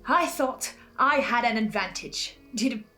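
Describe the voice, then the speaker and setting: A woman's voice: fairly high pitch, expressive, a little slow, bright, forceful tone, soft background music. A woman narrating a scripted analysis as host, speaking earnestly and theatrically with precise wording. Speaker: host of a fan theory video series.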